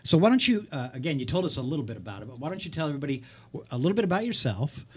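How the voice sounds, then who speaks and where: A man's voice: on the high side, very animated, racing, breathy edge. A man hosting a casual radio interview, chatty and rambling, often stammering over repeated words. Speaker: radio host.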